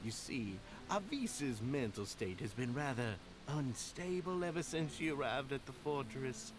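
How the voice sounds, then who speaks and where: A man's voice: mid-range voice, animated, unhurried, noisy background, lightly acted. A man voicing a suave, slippery game villain who speaks with ornate, condescending politeness and an undertone of menace. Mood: feigned politeness. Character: suave male society enforcer, falsely courteous, slippery and menacing. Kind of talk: scripted game voice acting.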